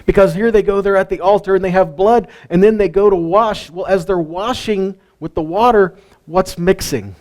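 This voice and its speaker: Male Bible teacher, a bit high-pitched, lively and chatty, heavy on rhetorical questions. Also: moderate pace. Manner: animated, asks rhetorical questions, jokes with listeners. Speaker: teacher of a weekly Torah portion.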